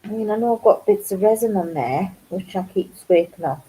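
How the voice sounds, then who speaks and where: A middle-aged woman with a Geordie accent blended with a North Yorkshire twang chats loosely and rambles with her viewers. Her voice sits at a middle pitch for a woman. Her tone is mellow and warm. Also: a little fast, husky, low-quality recording. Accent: Geordie mixed with North Yorkshire. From North East England. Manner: chatty, rambling, self-deprecating. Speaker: crafts channel host. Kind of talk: casual chat to live viewers.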